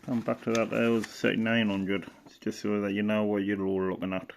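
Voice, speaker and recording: A man's voice: on the low side, little pitch movement, a little fast, gravelly, slight background noise. A middle-aged man giving a casual, rambling show-and-tell as a hobbyist, hesitant and thinking aloud. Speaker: radio hobbyist presenter.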